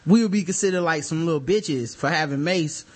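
High-voiced laughing male podcast host. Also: brisk. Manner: loose, profane, laughing storyteller. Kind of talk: casual podcast banter.

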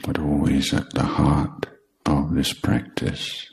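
A man guiding a meditation as a teacher, speaking in short, deliberate, measured phrases with long pauses. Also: extremely low pitch, little pitch movement, creaky, darkish tone. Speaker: meditation teacher, Buddhist monk. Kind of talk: guided meditation instruction.

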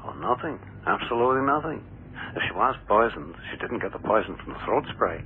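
An actor playing a matter-of-fact police laboratory technician speaking over the telephone in an old radio drama. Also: male voice, on the low side, big pitch swings, brisk. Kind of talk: 1960s-70s radio drama acting. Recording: tinny, faint telephone line.

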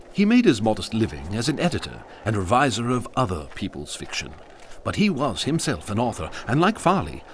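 A man's voice: lowish voice, a little hiss or hum. A man narrating a radio drama in a wry, theatrical way. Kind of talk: scripted storybook narration.

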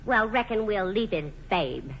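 A woman's voice: medium pitch, animated, a little slow, a bit bright. An actress playing a plain-spoken, folksy small-town woman in a 1940s radio play. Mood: chatty, homespun. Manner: folksy, homely turns of phrase. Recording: muffled, hissy old radio broadcast.

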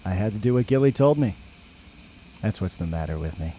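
A man's voice: on the low side, expressive, fairly quick, warm tone, a little hiss or hum, lightly acted. A man playing a sly, aloof teenage camper in an audio drama, evasive and given to theatrical mock-biblical airs. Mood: flat, evasive.

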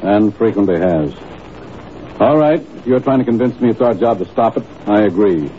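An actor playing a gruff, practical, plain-spoken intelligence chief in a 1950s radio drama. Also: male voice, fairly low pitch, animated, normal speed, natural voice. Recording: tinny, hissy old radio recording.

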